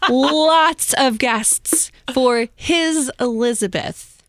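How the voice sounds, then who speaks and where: Female storyteller host, slightly high voice, casual and slangy, very dry recording. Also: drawn-out words, a bit bright, dry recording. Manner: casual, slangy, chatty asides. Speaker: host telling a history story. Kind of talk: informal storytelling among co-hosts.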